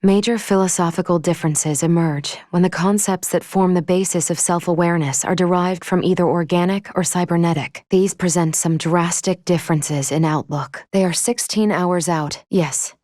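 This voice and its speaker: Game casting: actress as a logical female android ship's officer, lowish voice, formal, clinical and measured, recorded dry. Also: steady tone, darkish tone, dry recording, close to an everyday voice. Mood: calm, analytical. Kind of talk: scripted video game voice acting.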